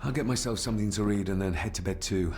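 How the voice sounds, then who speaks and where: An actor playing a polite, inquisitive and guarded young gentleman heir in a video game. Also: fairly low pitch, fast, slight background noise, close to an everyday voice. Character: reserved, questioning young gentleman heir of 1920s.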